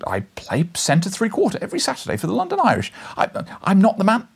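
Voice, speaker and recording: A man acts out an indignant young storybook hero, high-pitched and flustered. He stammers with defiance, swinging up and down in pitch. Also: wildly swooping pitch, fast, creaky, husky, heavily acted. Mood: defiant.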